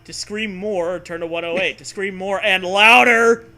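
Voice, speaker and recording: A man's voice: high voice, measured pace, bright, forceful tone. A man co-hosting a comic read-aloud, playful and given to exaggerated emphasis between bits of banter. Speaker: co-host reading aloud.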